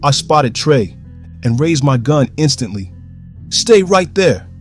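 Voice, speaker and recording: A man's voice: medium pitch, big pitch swings, takes it slowly, faint music behind. An actor playing a tense, aggressive armed man who barks commands in an audio drama. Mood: commanding, furious. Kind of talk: audio drama narration and dialogue.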